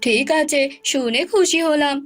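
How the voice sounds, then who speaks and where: Woman playing a stern fatherly king, high voice. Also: measured pace, soft background music. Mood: commanding.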